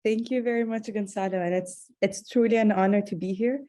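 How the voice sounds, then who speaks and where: A woman giving an online talk as an advocate, speaking with a stutter and many pauses. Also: medium pitch, steady tone, warm tone. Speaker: guest speaker, advocate for people who stutter. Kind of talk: webinar talk.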